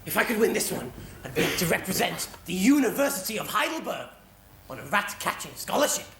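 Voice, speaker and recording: Drunken has-been cat: creaky, melodramatic, rambling pantomime delivery, recorded in a reverberant hall with audience. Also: mid-range voice, big pitch swings, fast talker, crowd noise. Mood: grandiose storytelling. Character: washed-up, drunken, self-pitying old alley cat, former rat catcher. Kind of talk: stage pantomime comedy acting. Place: theatre stage before a live audience. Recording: echoey theatre stage recording with audience.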